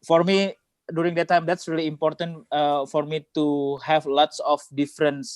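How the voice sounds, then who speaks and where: A man with an Indonesian accent hosting a casual online conversation, halting as he searches for words and often saying "like". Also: on the high side, level tone, no room sound. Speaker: host. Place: indoors, video call.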